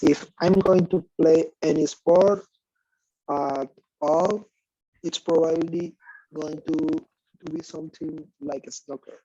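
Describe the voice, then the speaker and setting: Mellow, slow male student reading haltingly. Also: a little high, fairly even intonation, no room sound. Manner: halting, repeats words. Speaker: English student. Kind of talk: reading aloud in class. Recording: dull, dry online-call audio.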